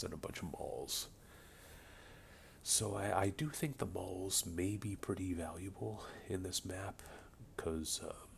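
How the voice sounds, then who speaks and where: A man with a voice slightly off from a cold, casually commentating a strategy game replay, relaxed and rambling with many ums. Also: deep voice, gravelly, a little hiss or hum. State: getting over a cold. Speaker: game caster. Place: home room with a buzzing light.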